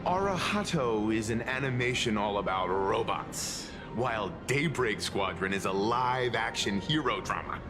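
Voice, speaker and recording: A man playing a mature, scholarly gentleman in a video game, speaking politely and formally with boyish enthusiasm underneath. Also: lowish voice, animated, unhurried, few pauses. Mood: wistful, earnest. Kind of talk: anime-style video game voice acting. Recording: music behind the voice.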